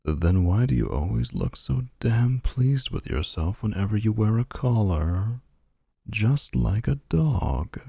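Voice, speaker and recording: A man's voice: fairly low pitch, very animated, slow pace, gravelly, warm tone, slightly rough, no room sound, studio quality, exaggerated voice. A man voicing a possessive, smug male vampire suitor in a boyfriend roleplay, talking in a menacing, boastful way. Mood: taunting.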